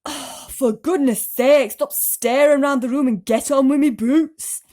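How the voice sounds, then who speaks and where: A loud, bossy centipede from a storybook, acted out by a woman. He is grumpy and impatient, barking out scolding orders at a forceful volume. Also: high-pitched, fairly even intonation, measured pace, pitched above the performer's own voice.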